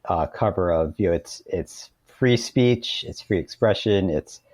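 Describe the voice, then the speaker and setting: Low-pitched, mellow man in conversation. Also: a little slow, no echo. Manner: hesitant, with frequent 'you know' and repeated words. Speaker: author interview guest. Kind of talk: conversational explanation in an interview.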